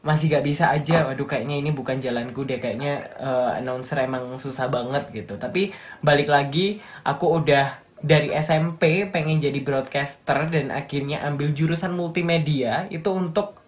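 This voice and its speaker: A man's voice: fairly high pitch, fairly even intonation, moderate pace. Young male radio announcer from Malang, light Javanese accent, upbeat and motivational. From Malang, East Java. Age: young adult. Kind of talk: casual interview chat.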